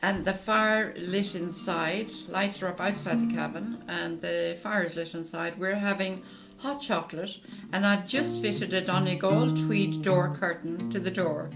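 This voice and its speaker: A woman co-hosting an online singalong, briskly reading out viewer messages with friendly asides. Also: on the low side, fairly even intonation, over music. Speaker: co-host reading viewer comments. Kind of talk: casual chat.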